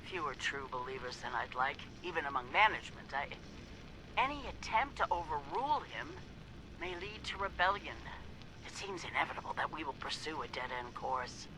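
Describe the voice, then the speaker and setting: An actress playing an arrogant, ruthless, zealous scientist in a video game audio log, with theatrical, deliberate enunciation. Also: female voice, low voice, expressive, background noise. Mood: contemptuous.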